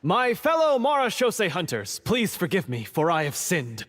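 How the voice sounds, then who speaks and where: A man playing an earnest young repentant hunter in a video game, halting and a little theatrical. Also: sits high, wildly swooping pitch, a bit bright, heavily acted. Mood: theatrically contrite. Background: game music.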